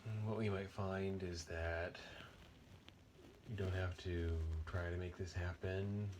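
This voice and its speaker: A man leading an unscripted guided body meditation in a gentle, unhurried manner with long pauses. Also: low-pitched, little pitch movement, background noise.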